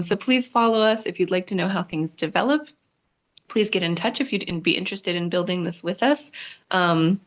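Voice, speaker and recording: Relaxed woman hosting an online panel. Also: fairly low pitch. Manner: relaxed, conversational, many "um" fillers. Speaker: host and moderator. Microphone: video call.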